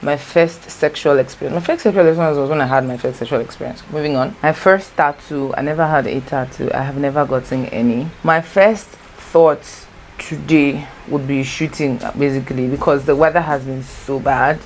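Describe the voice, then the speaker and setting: Young Nigerian woman, Igbo first language, vlogger; very low voice, chatty and rambling, faint background hum. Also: fairly even intonation, moderate pace, darkish tone. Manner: chatty, rambling, self-correcting. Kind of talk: casual storytime chat. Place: home, natural light.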